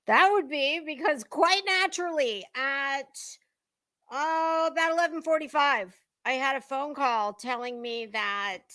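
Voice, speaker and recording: An American woman chatting casually as a livestream host, with a dramatic, drawn-out delivery. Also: high-pitched, bright, forceful tone.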